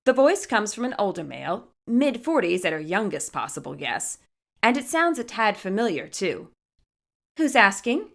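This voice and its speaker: A woman narrates a scripted fan story. Her voice sits at a middle pitch and moves with animated intonation. The delivery is expressive storytelling. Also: normal speed, vocal fry.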